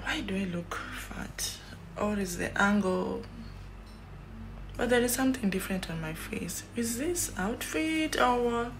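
A woman's voice: medium pitch, unhurried, heavy background noise. A young Kenyan woman with a Kenyan accent, chatting casually to her phone camera in a small room, rambling with many fillers. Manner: chatty, rambling, lots of fillers and animated hand gestures. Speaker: YouTuber. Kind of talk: casual vlog chat to camera. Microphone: phone at arm's length.

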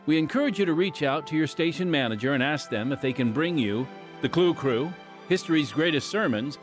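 Polished male announcer, animated intonation. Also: a little high, music in the background. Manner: polished, measured delivery. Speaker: radio program announcer. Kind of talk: scripted announcer narration.

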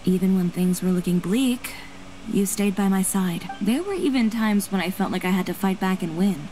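An actress playing a confident, blunt young fashion designer in a video game, composed and matter-of-fact. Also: female voice, mid-range voice, normal speed, warm tone, faint music behind, close to an everyday voice, rough recording. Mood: sincere.

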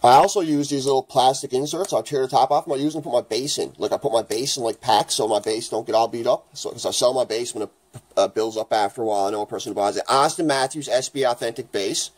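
Guy w a raspy post-flu voice, rambly card-opening chat in his kitchen, mid pitch. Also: fast. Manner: chatty, rambling, excited at good pulls. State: raspy, getting over the flu. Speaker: hockey card channel host. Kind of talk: casual card-opening vlog. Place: home kitchen.

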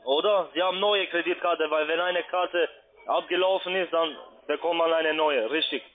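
A man with a high voice works through a phone call, questioning insistently. He stumbles and repeats himself with non-native phrasing. The line is thin and lo-fi, like a muffled telephone. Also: steady tone. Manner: halting, repetitive, non-native grammar. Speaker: phone scam caller.

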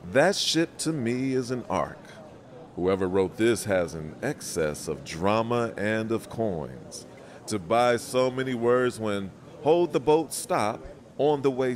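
Theatrical, playful period gentleman, low voice, deliberate pace, very animated pitch; wordy audio-play wit. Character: theatrical, witty, sociable man in a period drama.

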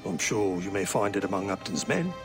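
An actor playing a guarded, sly assassin bureau chief in a video game, speaking with insinuating pauses. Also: male voice, sits low, very animated, over music. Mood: wary, scheming. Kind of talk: video game drama acting.

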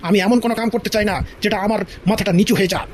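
Casting: domineering old cartoon father played by a man, extremely high voice, quick, indignant scolding. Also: fairly even intonation, a little hiss or hum, lightly acted. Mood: stern, scolding. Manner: scolding, indignant, stuttering repeats. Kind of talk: exaggerated comedy cartoon dialogue.

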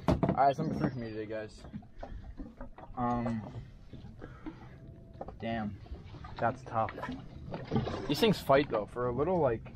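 A young man hosting a fishing vlog, chatting excitedly to viewers as he fights fish on a small boat at sea, recorded on an action camera. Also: medium pitch, takes it slowly, pauses often. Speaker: fishing channel host. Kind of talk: casual fishing vlog chat. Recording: wind and boat noise outdoors.

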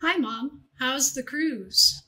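A woman's voice: high-pitched, takes it slowly, pushed and bright, full character voice, rough recording. A woman playing a brash, impatient young woman in audiobook dialogue, casual and streetwise. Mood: breezy, unruffled.

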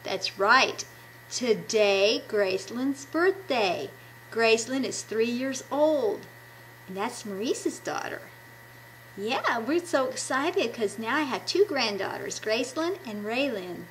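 A woman's voice: on the high side, expressive, slow pace, a bit bright, a little hiss or hum, rough recording. A woman in her fifties giving an enthusiastic devotional talk to children, recorded on a webcam at home. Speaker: children's ministry puppet presenter. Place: small room at home. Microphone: webcam at arm's length.

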